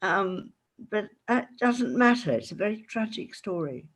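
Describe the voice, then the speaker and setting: A woman's voice: medium pitch, lively intonation, a little slow. An older woman chatting frankly as an interview guest over a video call. She laughs often. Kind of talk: casual interview chat.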